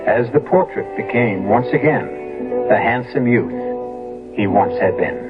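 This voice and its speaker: A man playing a grave, musing host who narrates a 1970s radio mystery deliberately and dramatically over loud music on a tinny broadcast. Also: medium pitch, natural voice.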